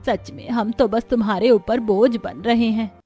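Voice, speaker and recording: A woman's voice: fairly high pitch, steady tone, measured pace, warm tone, faint music behind, natural voice. Woman acting a caring, worried old mother. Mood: sorrowful. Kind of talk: cartoon family drama dialogue.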